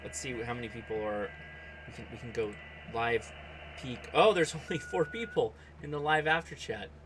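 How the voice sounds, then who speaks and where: A man hosting a hobby livestream, chatting casually and reacting aloud in an excitable way, often addressing people by name. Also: mid-range voice, moderate pace, noisy background. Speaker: host of a ham radio livestream. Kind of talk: casual livestream chat and running commentary while operating radio.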